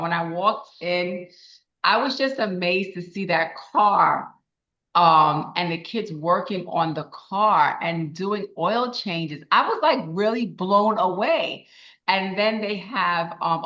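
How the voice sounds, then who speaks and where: A middle-aged woman giving a chatty, enthusiastic spoken report at a council meeting. Her voice is raspy and tired after a long day. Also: fairly low pitch, fairly bright tone. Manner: enthusiastic, chatty, with frequent restarts and laughs. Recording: dull lo-fi meeting recording.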